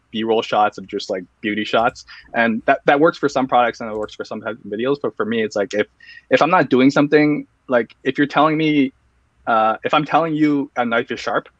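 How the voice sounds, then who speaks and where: A man's voice: mid-range voice, fairly bright tone, no echo. Earnest man explaining, dull dry audio. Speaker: guest, video reviewer. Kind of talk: casual interview answers.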